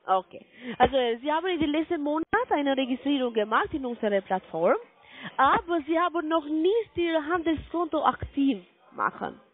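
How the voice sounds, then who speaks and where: A woman with a foreign accent in German on a sales call, halting and insistent with her questions. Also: high voice, expressive. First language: not German. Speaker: call center sales agent. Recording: narrow-band phone line.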